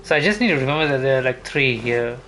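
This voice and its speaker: A man's voice: medium pitch, lively intonation, fast. A man giving casual gameplay commentary as a streamer, musing and thinking aloud to himself. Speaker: game streamer. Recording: game music behind the voice.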